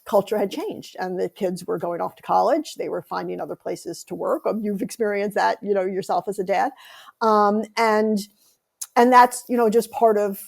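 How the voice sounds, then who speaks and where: A middle-aged American woman talks through her ideas as a podcast guest. Her voice has a gravelly, creaky texture at a medium pitch. She pauses often and restarts her sentences as she thinks. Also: a little mellow. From United States. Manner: thoughtful, many restarts and ums. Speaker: author and guest. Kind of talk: casual podcast interview.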